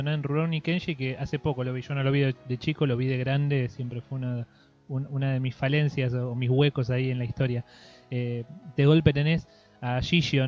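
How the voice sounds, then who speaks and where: A man with an Argentine Spanish accent hosting a casual interview, phrasing his thoughts in a halting, reflective way. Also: medium pitch. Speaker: interviewer, host. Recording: dull lo-fi audio, music behind.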